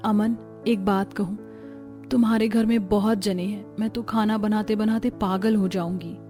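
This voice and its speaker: A woman voicing an overworked young newlywed daughter-in-law in a cartoon, plaintive and soft-spoken. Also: mid-range voice, fairly even intonation, moderate pace, soft background music, lightly acted. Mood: affectionate, worried.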